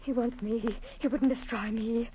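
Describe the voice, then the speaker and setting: A woman's voice: fairly high pitch, fairly even intonation, normal speed, close to an everyday voice. An actress playing a frantic, thirst-crazed woman in a melodramatic old-time radio horror play, repeating her phrases feverishly. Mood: dazed dread. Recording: muffled old radio broadcast, hissy.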